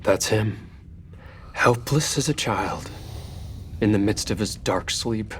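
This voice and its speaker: Man acting a low-pitched, grave sorcery expert. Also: slow, fairly bright tone, a little hiss or hum. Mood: ominous. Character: grave, knowing man explaining dark sorcery. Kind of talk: audio drama table read acting.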